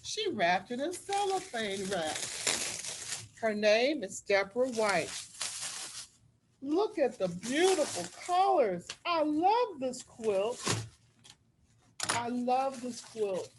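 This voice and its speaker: A woman reading a storybook aloud to children, explaining things simply and deliberately like a school librarian. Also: fairly high pitch, big pitch swings, noisy background. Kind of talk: read-aloud storytelling with teacherly asides.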